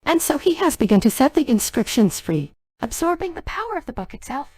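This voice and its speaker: A woman's voice: high-pitched, very animated, fairly quick, a little mellow, dry recording. A synthetic female voice playing an earnest, formal young genie of a magic ring in a dubbed game cutscene. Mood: grave, pleading. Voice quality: synthetic text-to-speech voice. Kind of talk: dubbed game cutscene dialogue by text-to-speech.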